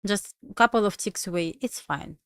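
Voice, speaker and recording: A woman with a Russian accent, a native Russian speaker, chatting casually on a trading livestream in halting English with restarts. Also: mid-range voice, smooth, no room sound, studio quality. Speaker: livestream trader.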